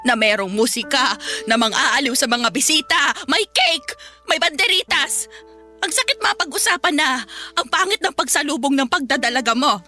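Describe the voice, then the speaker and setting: A woman's voice: high voice, normal speed, pushed and bright, soft background music. An actress playing an anxious, proud Filipino mother in a radio drama, fretful and insistent. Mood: hurt, insistent. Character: anxious, proud mother fretting over her daughter's debut.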